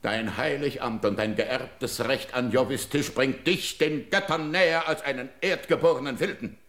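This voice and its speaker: An actor playing a proud, stern barbarian king in a classical verse radio drama, declaiming in a commanding, weighty, deliberate manner. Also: mid-range voice, a bit bright, lightly acted. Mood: wounded, sardonic.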